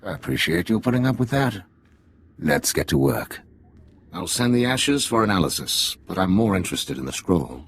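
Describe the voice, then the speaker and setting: A deep, gravelly undercover agent. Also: male voice, sing-song, moderate pace, a little hiss or hum, full character voice. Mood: briefing, dry. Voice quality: gravelly, slightly husky. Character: undercover Imperial agent posing as a native, wry, shrewd. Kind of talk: video game cutscene acting.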